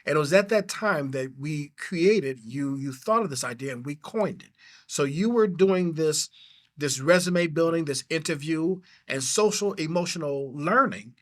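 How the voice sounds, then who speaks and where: Effusive male host, fairly high voice. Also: animated, a little slow. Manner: effusive, frequent affirmations. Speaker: school administrator hosting. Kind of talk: interview host.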